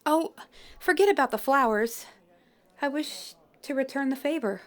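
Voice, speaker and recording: A woman's voice: high voice, normal speed, natural voice. Inga, a woman playing a shy young flower girl. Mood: warm, grateful. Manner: soft-spoken, polite, old-fashioned phrasing. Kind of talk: fantasy audio roleplay.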